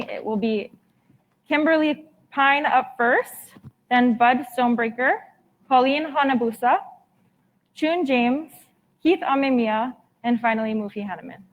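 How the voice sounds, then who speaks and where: Upbeat woman host, higher voice. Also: fairly even intonation, normal speed, muffled recording. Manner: upbeat, organized. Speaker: event co-host. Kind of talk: live event hosting.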